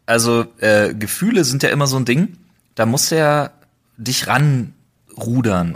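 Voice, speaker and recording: Young guy hosting a podcast, kinda husky, jokey and rambly, laughs a lot. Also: medium pitch. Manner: playful, laughs often, rambling restarts. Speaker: podcast host. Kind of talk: casual podcast chat.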